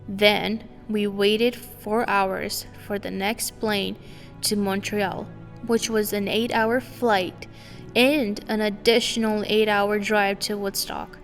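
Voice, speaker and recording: A young woman from Romania, whose first language is Romanian, reads her own story aloud in a calm, reflective way. Her voice sits at an ordinary pitch with a gravelly edge of fry. The recording carries a long reverb, with faint music underneath. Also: takes it slowly. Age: 20s. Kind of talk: scripted personal narration.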